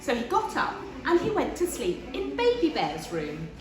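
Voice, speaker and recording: A woman reads a children's story in a high voice. Her delivery is expressive and unhurried, rising and falling widely. The room sounds echoey. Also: music in the background. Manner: deliberate, animated storytelling. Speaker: story reader.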